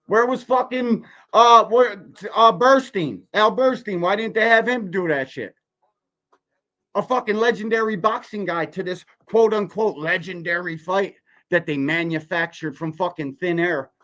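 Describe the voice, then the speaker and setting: Blunt, ranting man with a higher-pitched voice. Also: unhurried, bright, forceful tone, muffled recording. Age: 40s. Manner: blunt, ranting, emphatic with rhetorical questions. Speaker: podcast host reacting to a video. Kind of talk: heated, profane livestream commentary.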